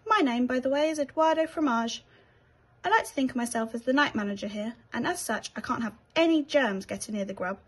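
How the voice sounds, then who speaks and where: A woman's voice: sits high, lively intonation, brisk, breathy edge. A woman voicing a vain, fussy but kindly male rat in a children's story, with a haughty, chatty manner. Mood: proud, softened.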